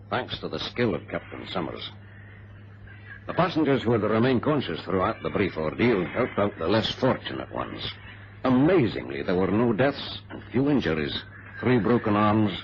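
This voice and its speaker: An actor playing a brisk, precise ex-colonel who tells his adventure in a formal radio-drama style. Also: fairly low pitch. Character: brisk ex-colonel plane passenger, an orderly organizer. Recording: noisy muffled vintage radio drama recording.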